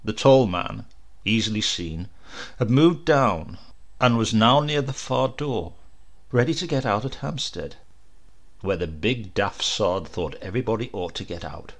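Gravelly male storyteller. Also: on the low side, lively intonation, measured pace. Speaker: audiobook narrator. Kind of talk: dramatic horror story narration.

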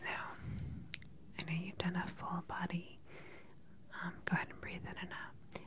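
Whispering woman, soft clinical ASMR. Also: fairly low pitch, flat delivery. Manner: soft, unhurried, clinical questioning. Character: calm, attentive government research doctor examining a patient. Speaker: ASMR performer playing a doctor. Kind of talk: whispered ASMR medical exam roleplay. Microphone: close ASMR microphone.

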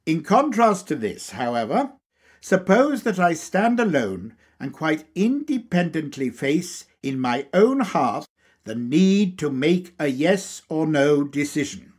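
Male audiobook reader for a lecture text: unhurried, measured delivery, slightly high pitch, animated intonation. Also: husky. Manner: deliberate, measured, emphatic phrasing. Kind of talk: scripted lecture reading.

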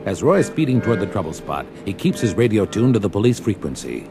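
A man's voice: fairly low pitch, sing-song, fast, music in the background. A man narrating an audio drama in a dramatic scripted storytelling style. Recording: old story record with backing sound.

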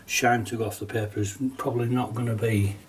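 A middle-aged man with a cold, sounding nasal, casually talking through a watercolour painting demonstration at his desk, relaxed and chatty with small laughs. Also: on the low side, fairly quick, far from the mic, slight background noise. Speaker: watercolour teacher. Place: painting desk at home, indoors.

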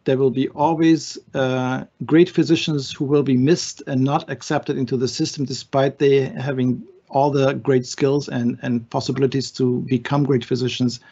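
A man's voice: mid-range voice, flat delivery, normal speed, few pauses, dark tone, breathy edge. A man in his sixties, born in Germany and raised in Brazil, answering seminar questions from his home study over a video call, thoughtful and hesitant with many restarts. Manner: thoughtful, with frequent fillers and restarts. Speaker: physician guest speaker. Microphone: video call webcam. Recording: muffled video call audio.

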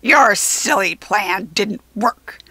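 A woman voicing a bratty, gloating male goblin in a children's story, with a shouting, screeching, sulky manner. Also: medium pitch, expressive, takes it slowly, husky, dry recording, exaggerated voice.

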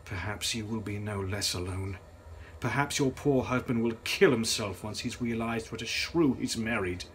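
A man's voice: on the low side, normal speed, a bit bright, slight background noise, close to an everyday voice. A man voicing a bitter, proud young Victorian woman with cutting, theatrical delivery in a gothic story. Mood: cold contempt. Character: bitter, proud twenty-year-old Victorian woman narrator.

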